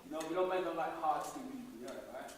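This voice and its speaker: A man's voice: on the high side, rapid-fire, a little hiss or hum. A man giving an informal talk to young people, explaining things in casual street slang. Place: large hall. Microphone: distant recorder. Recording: echoey hall from far off.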